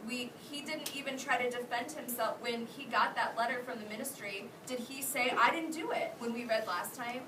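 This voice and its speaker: A woman's voice: fairly high pitch, moderate pace, few pauses. Woman teacher in a roomy, noisy classroom. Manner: questioning, prompting listeners. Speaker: elementary school teacher. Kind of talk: interactive read-aloud to a class. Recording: roomy, distant mic, clearly noisy.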